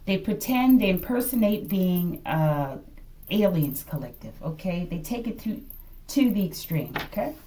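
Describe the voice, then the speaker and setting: A woman giving an improvised tarot reading in casual talk, thinking aloud haltingly and often checking in with her listeners. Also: lowish voice, sing-song, dark tone. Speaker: tarot reader and channel host.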